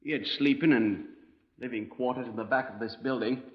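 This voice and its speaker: A man's voice: medium pitch, a little fast, close to an everyday voice. Actor as a fussy company man, echoey room. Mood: fussy, explanatory. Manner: precise, explanatory, deferential. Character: precise, fussy, deferential oil company man. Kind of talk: 1950s radio crime drama acting. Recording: dull, roomy 1950s radio recording.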